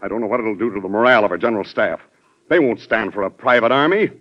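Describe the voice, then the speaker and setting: An actor playing a grave, anxious Secretary of War, respectful but insistent, in an old-time radio drama. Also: male voice, on the low side, lively intonation, fast talker. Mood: worried, insistent. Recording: muffled old radio broadcast recording.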